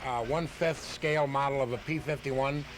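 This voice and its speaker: A man's voice: medium pitch, fairly even intonation, brisk. Matter-of-fact man on a noisy radio tape. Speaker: radio guest, model aircraft hobbyist. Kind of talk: casual explanatory interview answers.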